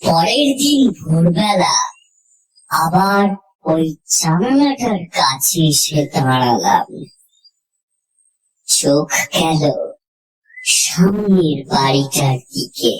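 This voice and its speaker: A woman narrating a scripted horror story in the first person, reading dramatically and deliberately with suspenseful pauses. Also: sits low, expressive, poor audio.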